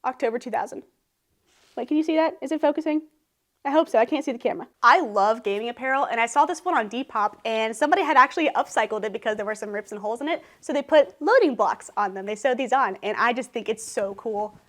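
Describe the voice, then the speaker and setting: A woman's voice: on the high side, fast talker, a bit bright. A thirty-year-old woman, chatting to the camera in her living room, emotional and laughing as she talks. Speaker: YouTuber. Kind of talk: casual vlog talk to camera.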